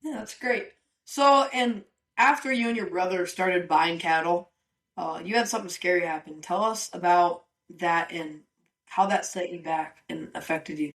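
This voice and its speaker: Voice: mid-range voice, moderate pace, fairly bright tone. A host asking short, upbeat interview questions in a casual way.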